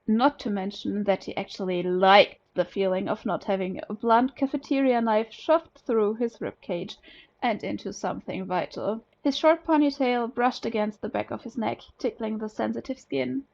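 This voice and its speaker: A woman's voice: mid-range voice, moderate pace, vocal fry, darkish tone, muffled recording. A woman from Germany, a native German speaker, narrating a scripted story as an amateur audiobook reader.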